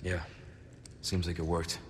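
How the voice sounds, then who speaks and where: An actor playing a stoic, suspicious young agent in a video game, speaking tersely and dryly with an American accent. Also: male voice, low voice, monotone, unhurried, a little hiss or hum. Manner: terse, dry. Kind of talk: cinematic video game voice acting.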